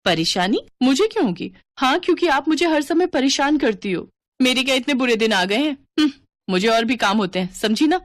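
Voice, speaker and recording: A woman's voice: high voice, expressive, brisk, no echo, studio quality, voice pushed up. A woman voicing an irritable, haughty young sister-in-law in a family story, snappy, sarcastic and exasperated. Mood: irritated. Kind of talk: Hindi storytelling drama.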